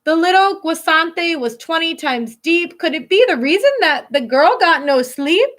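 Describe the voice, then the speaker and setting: Female schoolteacher for picture-book read-aloud; bright tone, unhurried delivery, teacherly explanatory asides. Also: very high-pitched, expressive. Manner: teacherly, stops to translate and explain words simply. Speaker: elementary school teacher reading aloud to pupils.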